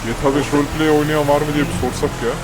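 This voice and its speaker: A man's voice: a little high, steady tone, very fast. A man streaming a roleplay game, joking and teasing other players in crude casual chat. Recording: noisy game audio behind voice.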